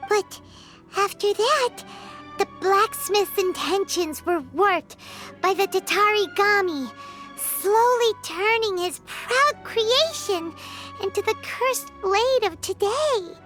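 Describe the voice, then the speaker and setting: An extremely high, childlike fairy companion in a cartoonish video game style. She is tiny, earnest and curious, squeaking along slowly over faint music. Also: expressive, fairly bright tone, heavily acted. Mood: thoughtful, saddened.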